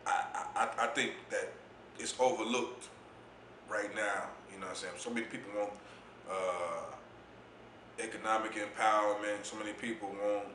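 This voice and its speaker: A man with a deep voice, speaking as an interview guest in a laid-back, reflective way about his poetry and youth mentoring. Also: fairly even intonation, moderate pace, pushed and bright, roomy sound, slight background noise. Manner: laid-back, reflective, says "you know what I'm saying" often. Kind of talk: casual interview talk.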